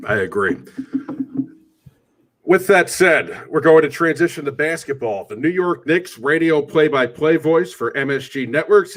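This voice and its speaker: A man hosts a sports talk show with a mid-pitched voice. He delivers commentary in a measured, orderly manner, turning directly to his co-host as he moves things along. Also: a bit bright.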